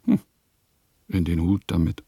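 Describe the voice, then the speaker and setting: Pompous oddball 1800s composer in a radio play, lowish creaky voice, real slow, musing to himself. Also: male voice, huge pitch swings, dark tone, no echo, studio quality, exaggerated voice. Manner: theatrical, musing, declamatory. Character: eccentric, self-important 19th-century male composer reading his diary.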